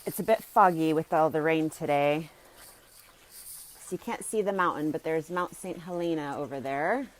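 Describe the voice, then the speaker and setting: A woman's voice: sits low, normal speed, low-quality recording. A woman giving an informal, plain-spoken explanatory talk as a health coach on a live video. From Germany and the United States. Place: outdoors, sitting outside.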